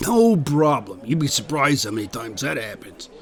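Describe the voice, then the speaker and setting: A man's voice: medium pitch, sing-song, normal speed, soft background music. A man playing a laid-back, folksy turkey hotline operator in a comedy sketch. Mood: easygoing. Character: easygoing turkey hotline helper.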